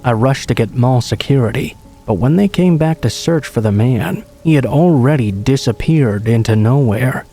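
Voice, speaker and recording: Guy reading scary stories, calm steady voice, kinda dark tone, faint music behind. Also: mid-range voice, normal speed. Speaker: horror story narrator. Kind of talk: scripted narration.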